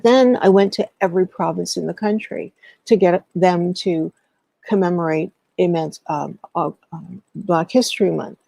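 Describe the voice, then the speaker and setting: A middle-aged woman speaking as an interview guest, recounting past work in an earnest, deliberate way and pausing mid-thought. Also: lowish voice, vocal fry, mellow, dry recording. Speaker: guest, historian and educator.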